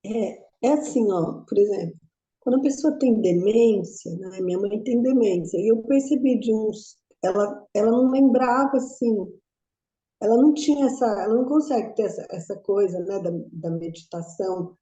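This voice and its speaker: A woman's voice: mid-range voice, normal speed, warm tone, roomy sound, lo-fi sound. A middle-aged woman in a study group asking a long question, rambling and restarting her sentences. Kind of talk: casual group discussion.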